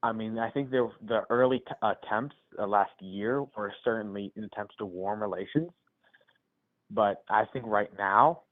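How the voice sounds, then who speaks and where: A male caller with a quiet, low voice, arguing hesitantly with many restarts on a live call-in show. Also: moderate pace. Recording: tinny phone line, drifting off-mic.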